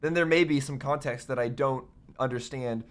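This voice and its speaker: Guy reacting to game cutscenes, mid voice, rambly n unsure, bit under the weather. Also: medium pitch. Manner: halting, puzzled, thinking aloud. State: recovering from covid, voice slightly affected. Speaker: host of a video game reaction show. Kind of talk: casual rambling reaction commentary.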